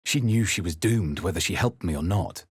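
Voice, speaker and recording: A low-voiced, brooding adventurer hero for a fantasy game. He speaks in measured, solemn phrases with crisp clarity, recorded close and dry. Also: animated, no room sound, heavily acted. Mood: brooding, intense. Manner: measured, dramatic, solemn delivery. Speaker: video game voice actor. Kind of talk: dramatic first-person game narration and character lines.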